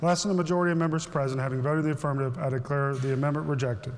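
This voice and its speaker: A man presiding over a legislative chamber, calling votes and recognizing members in brisk, formulaic procedure. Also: a little high, fairly even intonation. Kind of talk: formal parliamentary procedure. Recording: long hall reverb, faint ambience.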